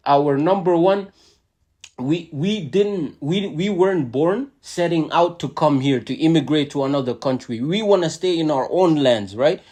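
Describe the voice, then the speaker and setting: A man's voice: a little high, a little mellow. A man hosting a podcast who grew up speaking a language other than English or French. He talks in an earnest, casual way, repeats words often and keeps asking "right?". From immigrant to Canada. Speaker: podcast host.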